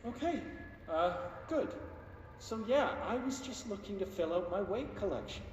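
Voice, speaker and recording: A whiny, defensive office clerk in a video game. His high voice rambles with awkward sarcasm. It sounds far from the mic in a heavily reverberant hall. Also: expressive, moderate pace. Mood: nervous, defensive. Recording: distant, very echoey hall, faint noise.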